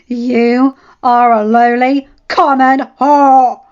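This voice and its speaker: A woman's voice: high-pitched, steady tone, unhurried, highs cut off, voice pushed up. A woman voicing a furious, scornful middle-aged noble lady who hurls insults at a rival. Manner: shrieking insults, venomous.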